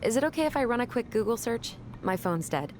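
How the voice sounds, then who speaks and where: A woman's voice: fairly high pitch, very animated, fast, darkish tone, a little hiss or hum. An actress playing a polite but stubborn young woman in a video game, speaking casually. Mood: awkward, polite. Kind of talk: naturalistic video game acting.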